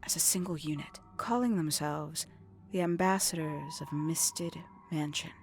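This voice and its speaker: Low-voiced, enigmatic brooding woman. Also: steady tone. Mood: solemn, wistful. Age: adult, older than nineteen. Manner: measured, deliberate, theatrical storytelling with dramatic pauses. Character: enigmatic, brooding woman recounting a secret family past. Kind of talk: video game voice acting, dramatic monologue. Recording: background music under the dialogue.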